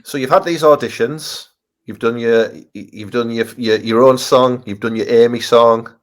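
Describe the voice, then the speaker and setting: English male host, mid pitch, friendly and a little stumbling. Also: moderate pace. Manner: friendly, laughs, stumbles on words. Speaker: interviewer, host. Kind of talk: casual interview.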